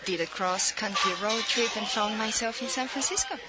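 A woman from Bulgaria, an artist, calmly telling her story as a radio guest. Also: mid-range voice, normal speed, over loud music.

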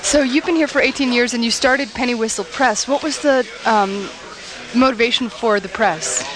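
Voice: medium pitch, moderate pace, crowd noise, low-quality recording. An interviewer asking brief questions that prompt a guest. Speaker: interviewer, host.

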